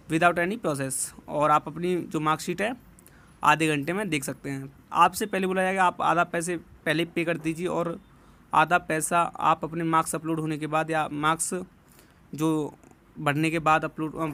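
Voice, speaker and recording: A man's voice: on the high side, bright, forceful tone. A man presenting an informal explanatory warning to viewers, repeating himself and checking in as he goes. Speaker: presenter warning students about a scam call.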